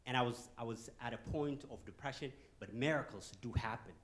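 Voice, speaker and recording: A man from Ethiopia, giving a joking, animated motivational talk to a youth audience in a conference hall and throwing questions at the crowd. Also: medium pitch, brisk. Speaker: guest speaker.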